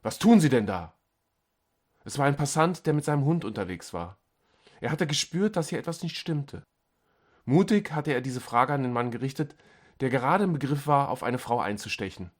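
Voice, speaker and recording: A man narrating a scripted short story as an audiobook, dramatically and with deliberate pauses. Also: mid-range voice, animated.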